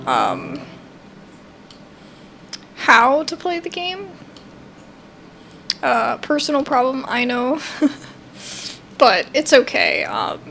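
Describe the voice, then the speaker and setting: A woman's voice: sits high, gravelly, a bit bright, a little hiss or hum. A young adult woman hosting a gaming video with casual, chatty commentary, thinking aloud in an informal way. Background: faint game music.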